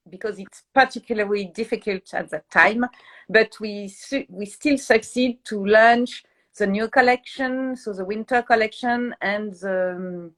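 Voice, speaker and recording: A woman's voice: medium pitch, fairly bright tone. A middle-aged woman from France with a French accent, a guest explaining her fashion PR work in a live interview, searching for her words in English.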